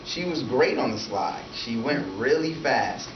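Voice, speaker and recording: A man's voice: mid-range voice, expressive, measured pace, close to an everyday voice. A man voicing a lonely, timid, polite young boy with simple childlike narration in a storybook read-aloud. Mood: quietly excited. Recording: echoey room from far, noisy, dull.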